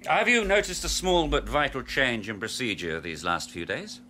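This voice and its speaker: An actor playing a cold, arrogant scientist, clinical and dismissive. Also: male voice, mid-range voice, lively intonation, normal speed, pushed and bright. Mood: smug, excited. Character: Doctor Brodsky, cold arrogant male scientist.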